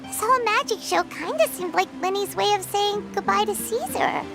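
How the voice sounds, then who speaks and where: A woman voicing a tiny, excitable childlike fairy companion in a video game. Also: extremely high pitch, moderate pace, gravelly, music in the background, full character voice. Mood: curious, chatty. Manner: speaks of herself in third person.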